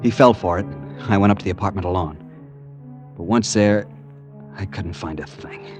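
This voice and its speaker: A man's voice: deep voice, moderate pace, close to an everyday voice. An actor playing a desperate, earnest young American man, narrating and pleading in 1940s radio suspense style. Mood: hushed suspense. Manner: earnest, nervy, dramatic. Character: anxious, desperate young man hunting his missing fiancee. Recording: vintage radio drama, music behind.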